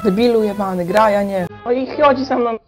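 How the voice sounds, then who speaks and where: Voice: mid-range voice, fast, faint music behind. A player from Poland with a Polish accent, native Polish speaker, talking in blunt broken English in game voice chat and swearing at a teammate. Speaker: online teammate.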